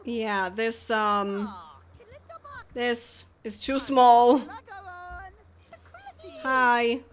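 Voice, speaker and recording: A woman's voice: on the high side, fairly even intonation, extremely slow. Chatty woman streaming a game. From Poland. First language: Polish. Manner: chatty, laughs often, talks to game characters. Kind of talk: casual live game commentary.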